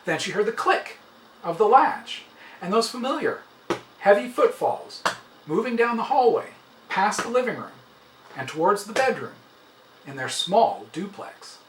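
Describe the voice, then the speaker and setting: A man reading a short story aloud as a dramatic, expressive narration. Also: sits high, unhurried, pauses often. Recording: roomy, slightly distant, faint noise.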